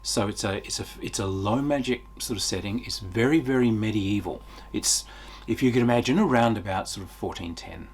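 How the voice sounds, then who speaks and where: A man's voice: fairly low pitch, brisk, husky. A middle-aged man giving a casual, unscripted video review in an enthusiastic, emphatic way, as a tabletop game reviewer.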